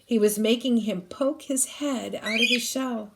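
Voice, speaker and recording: A woman's voice: a little high, measured pace, creaky, noisy background. A woman reading a children's novel aloud expressively, like a teacher reading to a class.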